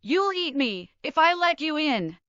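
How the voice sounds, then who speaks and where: A woman voicing a small young male pig in a children's story, squeaky, wary and defiant. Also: big pitch swings, unhurried, pushed and bright, no room sound, muffled recording, exaggerated voice.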